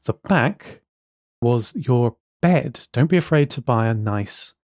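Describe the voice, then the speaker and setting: A man's voice: medium pitch, lively intonation, slow pace, a little mellow, no echo. Wry, opinionated man in a dry-sounding recording. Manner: opinionated, wry, dryly sarcastic. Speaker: podcast co-host, programmer. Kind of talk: casual podcast conversation.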